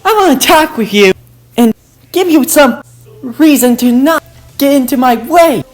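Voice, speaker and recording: An angry teenage schoolgirl, as a woman narrator plays her, snaps back with indignant challenges. Her voice climbs high and comes out loud, with faint music underneath. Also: animated, a little slow. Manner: snappish and indignant.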